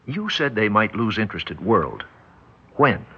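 A guarded, ambitious businessman in a 1960s radio play. The voice is very low but moves widely in pitch, with terse, questioning delivery. It sounds dulled by an old, hissy recording. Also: big pitch swings, moderate pace, a bit bright, slightly rough, dull audio, heavily acted. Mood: uneasy, calculating. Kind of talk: 1960s radio drama acting.

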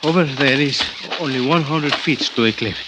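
Heavy noise surrounds a 1950s radio drama voice, an actor playing an eager Mexican man assisting an investigator. His Spanish-accented English is halting and deferential, at a medium pitch. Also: natural voice. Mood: urgent, hushed. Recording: very noisy old radio recording.

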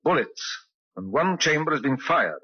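Husky, formal police inspector. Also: male voice, on the high side, very animated. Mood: firm, polite. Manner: courteous, formal, measured. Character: polite, firm male police inspector. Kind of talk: old-time radio drama acting. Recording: dull old radio recording.

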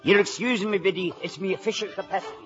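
A man's voice: sits high, racing, music in the background, close to an everyday voice. An actor playing a blustery older Irish village father in a 1950s radio comedy-drama. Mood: skeptical, amused. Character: excitable, thirsty Irish village father and official. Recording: muffled old radio recording.

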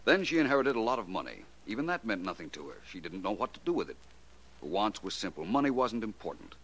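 Low-pitched, swooping radio detective. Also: male voice, very animated, fast, fairly bright tone, slightly rough, heavily acted, rough recording. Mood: explanatory, assured. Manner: assured, articulate, theatrical. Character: shrewd, confident gentleman detective, coolly explaining crimes. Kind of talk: 1940s radio drama acting.

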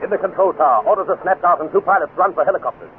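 An actor playing a dramatic, urgent announcer narrating a 1950s radio adventure serial. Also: male voice, high voice, racing, narrow, tinny audio, soft background music, lightly acted. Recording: thin, faintly noisy old radio recording.

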